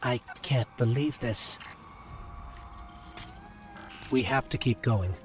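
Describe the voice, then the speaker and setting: A man's voice: medium pitch, a little slow, soft background music, close to an everyday voice. A man voicing a small floating robot companion in a video game, earnest, anxious and chatty. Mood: shocked, urgent. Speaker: companion guide to the player.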